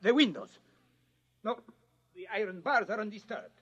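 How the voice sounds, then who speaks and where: An actor playing an excitable, sly man in a 1950s radio drama. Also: high-pitched, normal speed, husky, full character voice. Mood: bewildered, flustered. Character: excitable Mexican man, rattled, then slyly contented. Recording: dull old radio recording, music behind.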